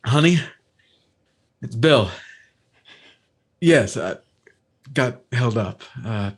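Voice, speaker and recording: Male actor as hesitant everyman witness, old-time radio thriller. Unhurried, husky, low-key. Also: animated, exaggerated voice. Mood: halting, reassuring. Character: hesitant working man witness, gentle with a frightened woman. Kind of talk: 1950s radio drama acting.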